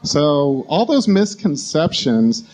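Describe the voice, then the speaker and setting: A man's voice: on the high side, very animated, slow, darkish tone, rough recording. A man explaining his view informally on a talk show panel.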